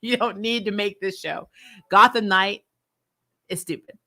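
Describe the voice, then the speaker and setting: Female review-show host, bright forceful voice, casual live chat, exasperated and blunt, swears and laughs through rants. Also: mid-range voice, unhurried.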